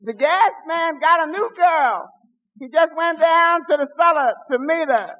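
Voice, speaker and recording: An actor playing a gossipy, droll caller in 1940s radio comedy, with a drawn-out comic delivery. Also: male voice, very high-pitched, lo-fi sound. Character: Westchester, slow gossipy male caller. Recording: noisy vintage radio recording.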